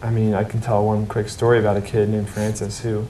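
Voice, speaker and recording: A man's voice: completely flat delivery, fairly quick, warm tone. A man giving earnest, explanatory interview answers as a member of a charity team. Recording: roomy echo, faint background noise, rumble.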